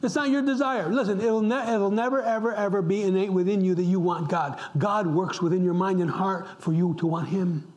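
A man's voice: high-pitched, steady tone, fairly quick, few pauses, a little mellow. A middle-aged man from upstate New York preaching a sermon as pastor, impassioned, repeating words for emphasis and dropping to a whisper at times. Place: church.

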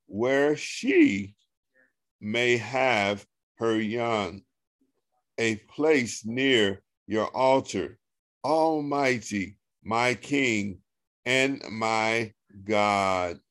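A man with a deep voice, a pastor leading an online Bible study and reading scripture aloud slowly on a video call. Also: fairly bright tone, no echo. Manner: slow, deliberate reading with a coaxing, teasing tone.

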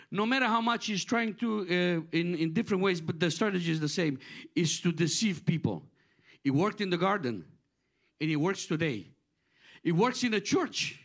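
High-voiced middle-aged preacher from Romania. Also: male voice, a bit bright. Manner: earnest, repetitive restarts, preaching cadence. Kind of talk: sermon.

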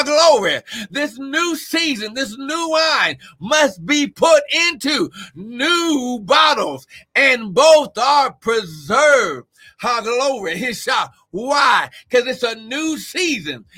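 A male preacher delivering a fervent sermon, shouting often and calling out to members of his congregation. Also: very high voice. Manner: shouting, exclamatory, calls out congregation members by name. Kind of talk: Pentecostal-style sermon.

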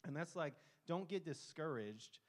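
Emphatic man exhorting, slightly high voice. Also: animated, unhurried. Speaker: panel speaker.

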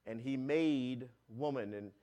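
A man pastor preaches at an even middle pitch. His delivery surges loud and high on key points, then sinks into quiet, low asides. He restarts and repeats phrases as he goes. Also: slow pace. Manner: swells louder on key points and drops low and soft on asides. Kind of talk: sermon.

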